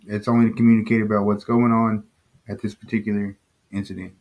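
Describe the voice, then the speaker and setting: A man's voice: fairly low pitch, level tone, fast talker, highs cut off. A man giving casual, plain-spoken explanatory commentary as the host, in an informal way. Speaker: host commenting on police scanner audio.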